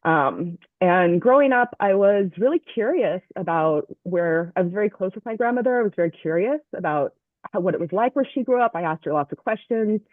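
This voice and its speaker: A woman's voice: mid-range voice, expressive, brisk, dry recording. A young woman from Southern California introducing herself on a video call, hesitant with many ums. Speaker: language class student. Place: home. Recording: dry tinny phone-like call audio.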